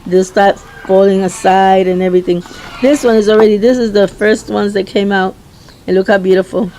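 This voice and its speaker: A middle-aged woman with a slightly hoarse, mid-pitched voice walks through her garden outdoors, talking casually about her plants. She rambles and often stops to restart her sentences. A faint outdoor hum sits under the handheld recording. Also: steady tone, dark tone, rough recording. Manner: rambling, chatty, halting with restarts. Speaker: home gardener. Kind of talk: casual garden tour vlog.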